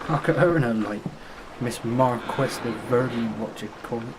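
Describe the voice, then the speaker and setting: An actor plays a resentful Cornish fisherman in a radio drama. His medium-pitched voice grumbles and jeers in broad working-class speech, blunt and hot-headed. Faint noise sits behind him. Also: moderate pace, natural voice. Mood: scornful, resentful. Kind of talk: radio play acting.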